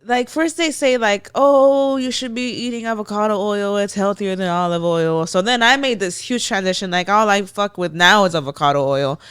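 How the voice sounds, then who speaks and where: A woman's voice: medium pitch, normal speed, hardly any pauses, fairly bright tone, smooth, no room sound. A young adult woman with a Mexican American accent from Los Angeles, co-hosting a podcast in casual chat and ranting bluntly with lots of swearing. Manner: blunt, profane, exasperated rants. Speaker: podcast co-host.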